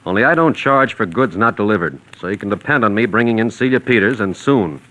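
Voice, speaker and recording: An American actor playing a shrewd, self-assured private detective in a 1940s radio crime drama. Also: male voice, lowish voice, expressive, fast, sharp tone, low-quality recording. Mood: brisk, confident. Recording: vintage 1940s radio recording.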